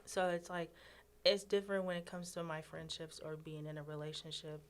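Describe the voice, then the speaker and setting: A young woman guest in a casual chat who talks in a hesitant, halting way, often stopping and restarting. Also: low-pitched, completely flat delivery. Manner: hesitant, halting, soft-spoken.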